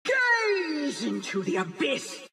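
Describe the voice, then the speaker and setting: A commanding, theatrical fantasy game sorceress. Her high voice moves slowly and swings in pitch, with music playing behind. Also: wildly swooping pitch, exaggerated voice. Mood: imperious. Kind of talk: video game battle voice lines.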